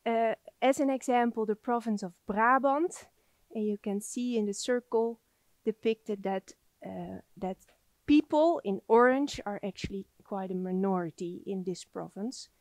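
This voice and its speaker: A woman in her thirties giving an academic lecture in a soft voice, standing in a library lecture space. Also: medium pitch, a little slow, dry recording. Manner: soft-spoken.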